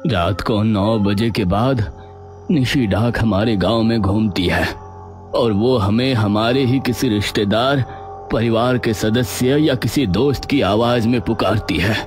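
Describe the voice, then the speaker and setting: A grave village elder acted out by a man with a fairly low voice, warning very slowly and deliberately. He belongs to a cartoon horror tale. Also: soft background music, close to an everyday voice. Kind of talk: acted animated story dialogue.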